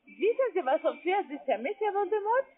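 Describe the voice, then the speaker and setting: Very high-pitched woman, phone. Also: very animated, brisk. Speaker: call centre sales agent. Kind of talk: scripted phone sales pitch. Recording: muffled tinny phone line.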